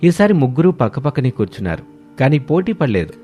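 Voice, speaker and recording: A man's voice: mid-range voice, sing-song, fast, warm tone. A man reading scripted narration of a moral story as the storyteller. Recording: music behind the voice. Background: background music.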